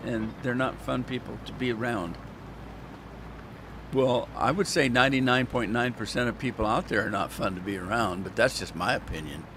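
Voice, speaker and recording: A man's voice: mid-range voice, background noise. Rambling, chatty man gaming. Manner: rambling, chatty, joking asides to viewers. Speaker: gaming channel host. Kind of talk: casual gameplay commentary.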